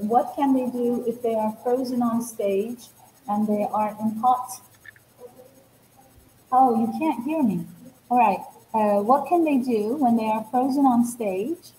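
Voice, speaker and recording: A woman moderator relays student questions over a video call, repeating them patiently. Her voice is slightly high and mellow. The room echoes and there is faint background noise. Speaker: webinar moderator. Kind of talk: reading audience questions.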